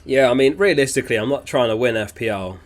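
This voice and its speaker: A man hosting a casual livestream, reading viewer messages aloud in a relaxed, chatty way. He is sniffly and keeps clearing his throat. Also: mid-range voice, fast talker, faint music behind. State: sniffly, getting over an illness. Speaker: fantasy football livestream host.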